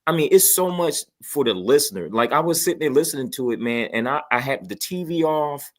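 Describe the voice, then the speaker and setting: A man chatting casually and enthusiastically, pitching with emphasis and slang and often checking that listeners follow. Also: animated, brisk. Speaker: guest promoting a toy radio station.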